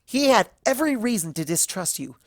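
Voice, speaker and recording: Storybook unicorn student, performed by a narrator: young female, raised pitch, cutting, accusing, righteous and sarcastic. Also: big pitch swings, fairly quick, no room sound, clean studio sound. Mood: shouting, indignant. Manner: sharp, cutting, rhetorical questioning.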